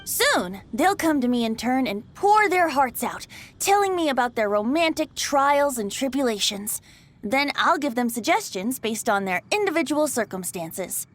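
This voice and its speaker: A woman's voice: high voice, very animated, normal speed. A woman voicing a cheeky, boyish bard in a video game, theatrical, flowery and playfully smug. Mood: breezy, persuasive.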